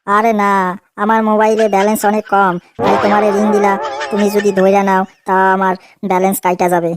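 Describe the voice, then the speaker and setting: A woman's voice: mid-range voice, level tone, fast talker, heavy background noise, natural voice. A woman voicing a young boyfriend in a Bengali comedy cartoon, placating yet cheeky, a sly wisecracker.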